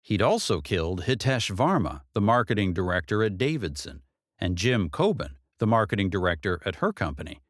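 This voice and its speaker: A man's voice: deep voice, very animated, brisk, no room sound, studio quality. A man reading a novel aloud as scripted audiobook narration.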